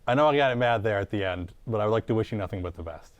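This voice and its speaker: A man's voice: on the low side, expressive, racing. A man hosting a comedy radio show with stumbling, repetitive, improvised banter.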